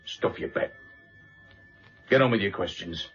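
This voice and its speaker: An actor playing a defiant, surly British murder suspect in a radio drama, blunt, combative and evasive. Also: male voice, deep voice, measured pace, a bit bright, slightly rough, heavily acted. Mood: angry, defiant. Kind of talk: naturalistic radio drama acting. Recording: dull lo-fi radio recording, faint music.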